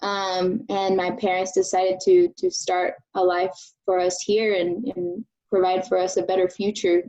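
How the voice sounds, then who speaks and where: A young woman from Venezuela in her twenties, an engineering graduate student answering interview questions at an unhurried pace with many ums. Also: lowish voice, flat delivery, warm tone, highs cut off.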